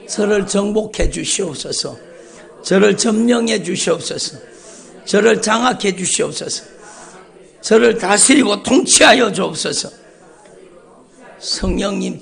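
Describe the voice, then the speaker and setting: A man's voice: high voice, expressive, a little slow, creaky, pauses often, fairly bright tone. A male pastor preaching an emphatic, repetitive sermon at a dawn church service, calling out often to the congregation. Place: church sanctuary.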